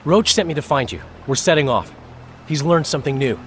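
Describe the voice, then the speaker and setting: An actor playing an excitable soldier bringing urgent news in a fantasy video game. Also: male voice, fairly high pitch, fairly quick, slight background noise. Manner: animated, urgent.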